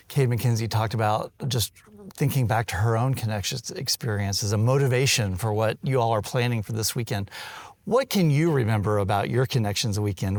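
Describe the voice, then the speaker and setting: A man's voice: on the low side, no echo. A middle-aged man, a university president, hosting an interview in an upbeat, encouraging way. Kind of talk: hosted interview.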